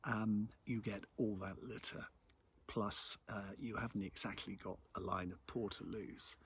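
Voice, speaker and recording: A middle-aged English man giving a reflective spoken monologue in a measured, conversational, musing way. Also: on the low side, steady tone, a bit bright, husky. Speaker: local commentator and host.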